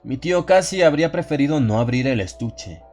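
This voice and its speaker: A man reading a first-person horror story as a scripted audiobook, with measured, solemn and dramatic delivery. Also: a little high, animated, darkish tone, soft background music. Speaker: audiobook narrator.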